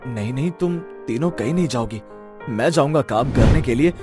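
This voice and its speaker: A man's voice: medium pitch, expressive, a little fast, music in the background, natural voice. A man voicing stern, indignant village men in a cartoon family story. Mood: stern, protesting. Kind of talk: acted cartoon story dialogue.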